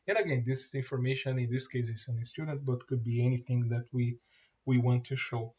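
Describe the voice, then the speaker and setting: Male presenter, native Portuguese speaker, demoing software, mid pitch, halting delivery, muffled recording. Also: fairly even intonation, breathy edge. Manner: halting, many fillers and restarts. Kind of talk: screen-share product demo.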